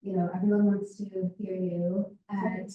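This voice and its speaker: A woman's voice: mid-range voice, little pitch movement, moderate pace, narrow, tinny audio. A woman in the audience asking the author a question in a friendly, slightly hesitant way. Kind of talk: casual question at a live event. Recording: roomy hall picked up from far off.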